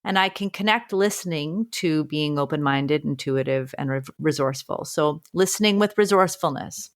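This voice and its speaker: A woman teacher co-hosting a podcast speaks in a low, strong voice. She thinks aloud in an earnest way and often restarts her phrases as she reflects. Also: normal speed. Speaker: podcast co-host. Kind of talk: reflective casual conversation.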